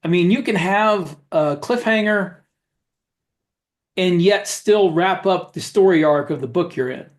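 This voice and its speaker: Higher-pitched man giving halting opinions. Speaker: podcast co-host, author. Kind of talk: casual discussion of writing.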